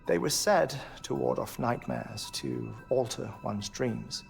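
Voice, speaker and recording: A calm, learned male scientist in a cinematic game scene. His mid-pitched voice has some creak, and he picks formal words with deliberate care. Soft music plays behind him. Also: full character voice. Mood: explaining, measured. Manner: formal, precise, deliberate wording. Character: calm, scholarly male scientist, solemn and articulate. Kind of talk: cinematic video game dialogue.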